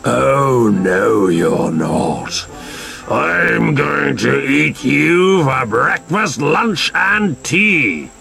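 A man voicing a terrifying, greedy, always-hungry troll in a children's storybook, roaring out his threats with drawn-out menace. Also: medium pitch, expressive, creaky, pushed and bright, faint music behind, heavily acted. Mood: menacing, hungry.

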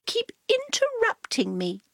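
Very high, haughty cat. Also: female voice, wildly swooping pitch, unhurried, vocal fry, dry recording, clean studio sound, full character voice. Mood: haughty, knowing. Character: haughty female pet cat, knowing and patient. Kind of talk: children's storybook character voice.

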